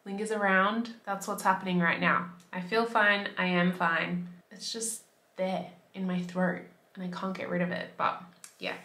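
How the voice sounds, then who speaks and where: Chatty young woman, slightly raspy. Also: lowish voice, level tone, normal speed, sharp tone, reverberant. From Australia. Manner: chatty, upbeat, fillers like "like". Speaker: beauty vlogger. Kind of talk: casual talk to camera. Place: bedroom by a window.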